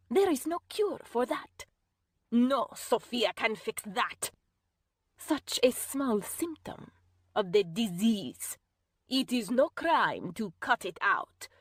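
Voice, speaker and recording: Audiobook villainess type: a woman reading as a calm, chilling, self-righteous woman, slow and deliberate. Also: medium pitch, no room sound, clean studio sound. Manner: slow, calm, measured, at times whispered. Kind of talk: audiobook character voice.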